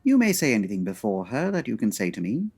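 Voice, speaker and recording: A woman playing a pedantic male Victorian detective in a comedy audio drama, with a British accent and formal, exacting, husky delivery. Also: extremely low pitch, sing-song, fast talker, warm tone, exaggerated voice. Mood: composed, formal.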